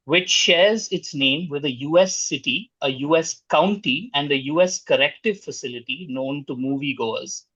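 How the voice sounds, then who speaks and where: A man's voice: on the high side, fairly bright tone, no room sound. A man acting as quiz master, reading out questions and scoring answers over a dull-sounding online call.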